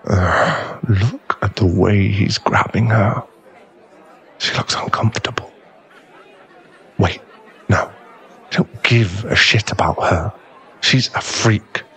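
Man playing a husky, low-voiced young werewolf. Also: a bit bright, some chatter behind, exaggerated voice. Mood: indignant, flustered. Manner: slow, halting, stammering delivery. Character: gruff young male werewolf bar owner, teasing but secretly tender. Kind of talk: ASMR boyfriend roleplay audio drama.

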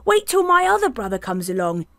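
Woman doing a bright pleading billy goat. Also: fairly high pitch, big pitch swings, brisk, no echo, pitched above the performer's own voice. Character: middle billy goat, male, not timid.

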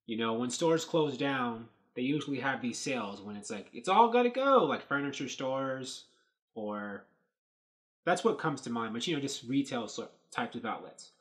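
Male host, 30s, mid-pitched, loose rambling webcam commentary from a small room. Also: expressive, moderate pace, fairly bright tone. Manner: rambling, thinking aloud with many fillers. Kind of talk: casual commentary on set photos. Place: small dark room indoors.

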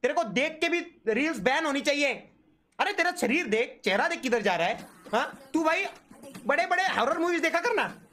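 Animated high-pitched young man, teasing banter. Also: normal speed. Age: young adult. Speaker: gaming streamer. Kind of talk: casual live reaction commentary.

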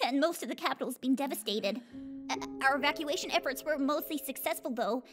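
A woman acts out an anxious reptilian lady scientist from a comic dub. Her voice is high and timid, tripping over words in nervous stammers. She sounds gentle and pleading, with music playing behind her. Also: a little fast, natural voice.

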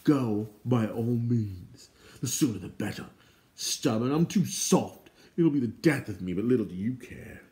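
An indignant storybook king of the fair folk, performed by a man. He blusters theatrically and pities himself loudly. His pitch lurches up and down with every outburst. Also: lively intonation, measured pace, lightly acted. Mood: melodramatic fury. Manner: melodramatic, self-pitying outbursts. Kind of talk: audiobook character voice.

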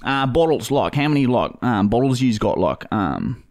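A man's voice: medium pitch, big pitch swings, moderate pace, darkish tone. Man playing a blunt, matey broad Aussie bloke. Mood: matey, casual. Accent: broad Australian. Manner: slangy, matey, rambling. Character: blunt, sweary, easygoing Aussie bloke named Darren. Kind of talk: prank call character comedy. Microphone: close boom-arm podcast microphone.

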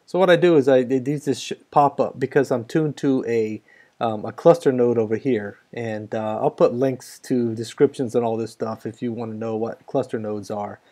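Noisy radio static surrounds a middle-aged American man in a small home room. He talks in a casual, rambling vlog style at a medium pitch, like a hobbyist at his radio desk. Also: warm tone. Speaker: amateur radio operator and vlogger. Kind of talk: casual vlog talk with radio call-outs.

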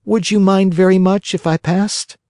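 A man's voice: high voice, fairly even intonation, normal speed, dark tone, no echo, studio quality, voice pushed up. A man voicing a frightened young marionette boy in a children's storybook, whining and pleading emphatically.

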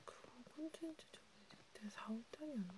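A woman whispering softly in a casual, hesitant, gently caring chat for an ASMR roleplay. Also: fairly high pitch, lively intonation, brisk. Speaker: ASMR video creator.

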